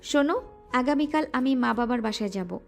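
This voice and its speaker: A woman's voice: fairly high pitch, animated, normal speed, vocal fry. A female voice actor playing a shy, teasing young wife in an audio romance drama. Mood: scolding. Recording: music bed underneath.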